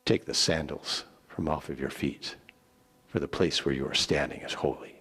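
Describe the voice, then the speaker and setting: A man playing a stern, solemn divine commander in a dramatic scripture reading, speaking slowly and gravely. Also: rumbling low, steady tone, a bit bright, pitched below the performer's own voice, heavily acted. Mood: grave, holy. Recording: echoey hall with long reverb.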